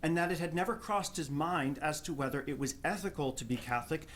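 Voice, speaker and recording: A man's voice: on the high side, brisk, a bit bright. A man from New Jersey with a New Jersey accent, giving an articulate, wry prepared talk as an academic respondent at a live event. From Jersey City, New Jersey, USA. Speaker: professor of theology, respondent. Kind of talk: prepared speech read to an audience. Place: live public talk before an audience.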